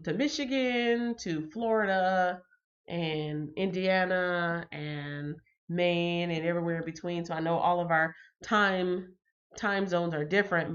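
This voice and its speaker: Woman, livestream host, low voice, slightly stuffy, chatty with ums, dull lo-fi sound. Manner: chatty, reads out viewer comments, frequent ums. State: slightly congested. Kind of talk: casual livestream chat.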